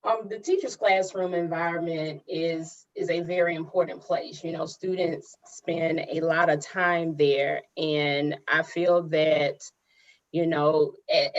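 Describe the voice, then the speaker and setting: Low-voiced woman on a muffled call. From Alabama. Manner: earnest, measured, frequent um and you know. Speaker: high school English teacher, panelist. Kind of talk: panel discussion.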